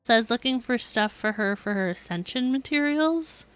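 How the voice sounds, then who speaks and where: A woman's voice: a little high, animated, normal speed, fairly bright tone, smooth. A woman game streamer giving chatty, informal, self-deprecating live commentary as she plays. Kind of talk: casual live gameplay commentary.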